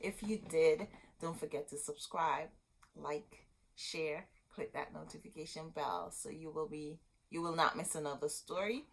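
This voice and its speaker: A woman reading a children's story aloud as host, expressive and dramatic, drawing out words for emphasis. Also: low voice, a little slow, husky, poor audio.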